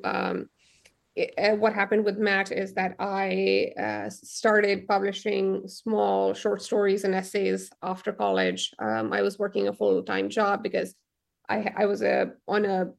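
A young woman, her voice often dropping into a gravelly fry. She answers a radio host's questions thoughtfully, pausing with frequent ums and restarting her sentences as she works out what to say. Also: mid-range voice. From Pakistan. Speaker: novelist, radio guest.

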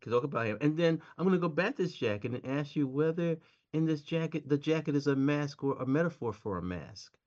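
A man's voice: fairly high pitch, lively intonation, fairly quick, a little mellow. Laughing male interviewer, dull lo-fi sound. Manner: chatty, laughs a lot, rambling questions. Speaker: interview host. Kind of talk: casual interview conversation.

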